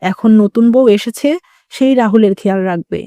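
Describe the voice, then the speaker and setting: A woman's voice: medium pitch, normal speed, dark tone, slight background noise, lightly acted. A woman voicing a stern older mother-in-law with a firm, admonishing manner in a cartoon. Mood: stern, dismissive. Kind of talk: Bengali moral-story cartoon dubbing.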